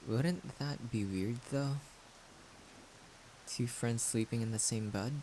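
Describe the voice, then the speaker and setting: Man playing shy young male friend, audio roleplay, gentle and reassuring, unhurried delivery, soft-spoken, faint hissy noise behind. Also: medium pitch. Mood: awkward, hesitant. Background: rain-like noise.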